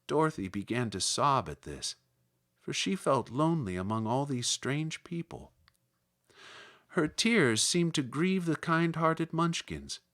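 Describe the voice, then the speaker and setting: A man reading a children's classic aloud as narrator, expressive and unhurried. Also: fairly high pitch. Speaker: audiobook reader. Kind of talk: scripted storybook narration.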